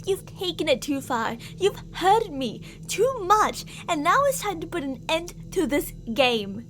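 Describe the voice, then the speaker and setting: A woman's voice: extremely high pitch, big pitch swings, a little slow, a bit bright, slight background noise, full character voice. A woman playing an obsessive, delusional stalker in an ASMR roleplay, theatrical and sweetly menacing, with jealous, volatile endearments and reproaches. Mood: menacing sweetness.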